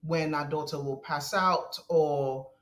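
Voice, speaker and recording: A woman guest with a deep voice, talking in an earnest, halting way with frequent restarts and soft laughs. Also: fairly even intonation. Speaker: podcast guest. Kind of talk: conversational interview.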